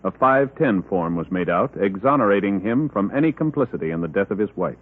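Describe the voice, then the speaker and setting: A man's voice: fairly low pitch, lively intonation, fairly quick. A man announcing formally in an official, scripted style for a 1950s radio crime drama. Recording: tinny vintage radio recording.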